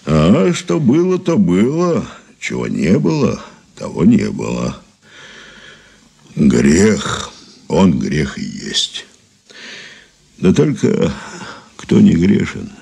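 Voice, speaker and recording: An actor playing a wary, sly, folksy male robber under questioning in a radio drama, with a husky, gravelly voice. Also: low voice, huge pitch swings, mellow, heavily acted. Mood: sly, philosophical. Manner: unhurried, sly, proverb-laden folksy speech.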